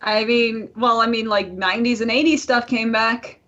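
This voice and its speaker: A woman's voice: a little high, steady tone, normal speed, sharp tone. Chatty woman podcaster, slightly dull audio. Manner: chatty, informal, swears freely. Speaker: podcast co-host. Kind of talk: casual podcast chat.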